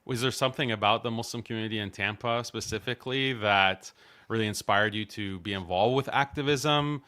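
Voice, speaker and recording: Bright, crisp-voiced male podcast host. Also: lowish voice. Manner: measured, formal introductions with religious phrases. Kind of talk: podcast interview over video call.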